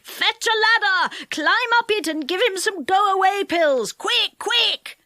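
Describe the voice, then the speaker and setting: A woman's voice: extremely high pitch, expressive, takes it slowly, dry recording, studio quality, pitched above the performer's own voice, heavily acted. A woman voicing a panicking crowd of little goblins and pixies in a storybook, calling out frantically. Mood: frantic. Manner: shouting, frantic.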